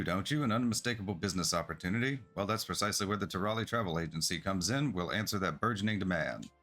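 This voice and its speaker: A man's voice: on the low side, a bit bright. A man reading the part of an eager, polite merchant in a game, with effusive, formal sales patter. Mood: enthusiastic pitch. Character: eager, polite merchant founder of a travel agency. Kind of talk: game quest dialogue read aloud. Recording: music behind the voice.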